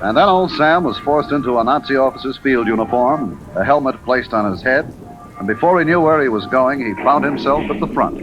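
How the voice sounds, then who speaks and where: A man's voice: mid-range voice, expressive, a little fast, close to an everyday voice. A man narrating an old-time radio drama in scripted storytelling style. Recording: dull old radio recording with music.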